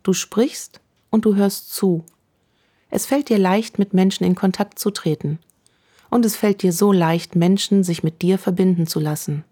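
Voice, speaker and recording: A woman guiding a meditation in a slow, calm, soothing voice, speaking affirmations to the listener. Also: mid-range voice. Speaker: meditation guide. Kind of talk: guided meditation.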